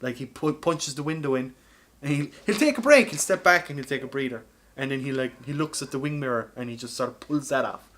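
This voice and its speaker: A man's voice: mid-range voice, lively intonation, fairly quick, fairly bright tone. An Irish man co-hosting a podcast, telling film stories in a casual, informal and sweary way. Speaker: podcast co-host. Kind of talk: casual film chat, animated storytelling.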